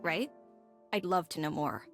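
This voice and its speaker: A woman's voice: lowish voice, unhurried. Young witch of rabbit folk, earnest, studious, caring, video game character, faint music behind. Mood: measured, frank.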